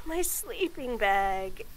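A young woman voicing a shy, nervous tomboy friend in a romantic roleplay audio, stammering, giggling and speaking softly. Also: a little high, sing-song, very slow, slight background noise, rough recording. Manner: stammering, giggly, soft-spoken. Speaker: voice actor.

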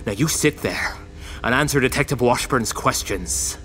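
A man plays a homicide detective with a violent streak in a crime audio drama. He taunts with folksy false politeness, his pitch moving freely over a faint rumble. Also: lively intonation, normal speed, fairly bright tone, close to an everyday voice. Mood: contemptuous.

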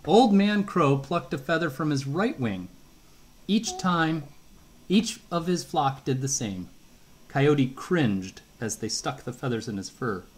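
Middle-aged man, slow expressive storybook read-aloud with questions to a child, animated pitch. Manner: unhurried, expressive, asks questions as he reads. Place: home kitchen.

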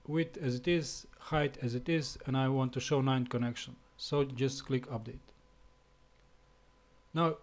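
A man from Slovenia with a Slovenian accent, speaking English as a second language in a halting screencast tutorial with fillers and restarts. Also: mid-range voice, a little mellow. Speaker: web developer.

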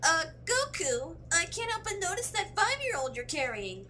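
A woman plays a blunt, sassy young anime heroine in a parody. Her voice is very high, bright and lively, over a faint hum. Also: moderate pace, full character voice. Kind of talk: comedic anime parody dub acting.

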